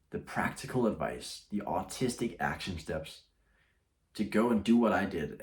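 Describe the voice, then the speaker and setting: A young man speaks casually to camera in a deep, low voice. He rambles, often breaking off and restarting his sentences as he shares his thoughts. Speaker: YouTuber.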